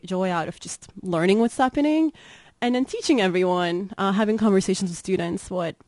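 A woman's voice: mid-range voice, darkish tone, no room sound. A woman from Turkey with a Turkish accent, answering as an expert guest in an informal, conversational way. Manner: informal, frequent "like" and "kind of". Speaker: guest expert, political science professor.

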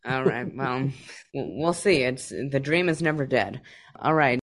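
A man's voice: mid-range voice, lively intonation, measured pace, fairly bright tone, rough recording. Man, interview host, playful, easygoing, casual chat.

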